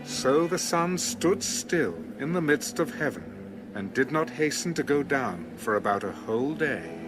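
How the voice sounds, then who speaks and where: A man narrating an ancient scripture story in a solemn, dramatic, measured storytelling style. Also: medium pitch, sing-song, over music, low-quality recording. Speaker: Bible narrator on a recorded audio reading.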